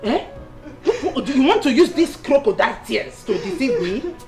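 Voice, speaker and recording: A woman's voice: a little high, big pitch swings, moderate pace, darkish tone. An actress playing a domineering, emotional Nigerian mother-in-law in a melodramatic film, swinging between scolding and tenderness. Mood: angry scolding. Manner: scolding, repeating demands, emotional. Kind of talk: melodramatic film drama acting. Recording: music bed under dialogue.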